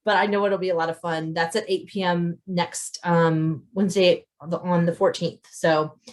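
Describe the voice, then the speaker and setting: A woman's voice: fairly low pitch, fairly even intonation, normal speed. A woman chatting casually and effusively to viewers as an author hosting an unscripted question-and-answer livestream. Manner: effusive, often chuckles mid-sentence.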